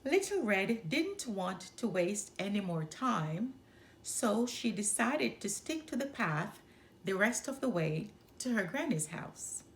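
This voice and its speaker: A woman reading a children's story aloud as a storyteller, in an expressive narrating style. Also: mid-range voice, slow, slight background noise. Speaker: storyteller and channel host.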